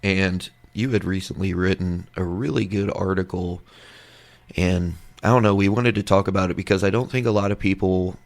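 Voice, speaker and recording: A man hosting a casual true crime podcast, hoarse as he is losing his voice, talking briefly and low-key. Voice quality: hoarse, gravelly. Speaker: podcast host.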